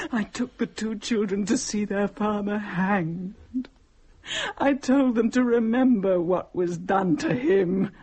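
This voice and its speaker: A woman acting a slow, husky, grieving village widow. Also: mid-range voice, a little mellow. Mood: anguished. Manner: slow, mournful, solemn. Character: grieving, starving, embittered village widow and mother, dying. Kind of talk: dramatic monologue, audio horror drama.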